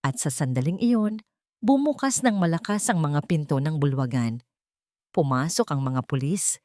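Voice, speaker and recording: A woman narrating a scripted story in a deliberate, suspenseful, dramatic way. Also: low-pitched, lively intonation, darkish tone, no room sound, studio quality.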